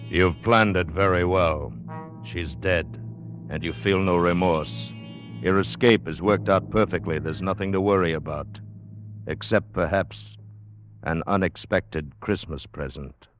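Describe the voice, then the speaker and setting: An actor playing an ominous, brooding narrator, deliberate and menacing in 1940s radio style. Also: male voice, deep voice, soft background music. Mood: quietly menacing. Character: ominous, brooding male narrator of adventure tales.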